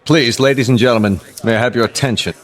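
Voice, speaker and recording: An actor playing a formal, deliberate Secretary General reading an official statement in a radio drama. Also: male voice, mid-range voice, close to an everyday voice. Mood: insistent. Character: formal, measured male Secretary General addressing reporters.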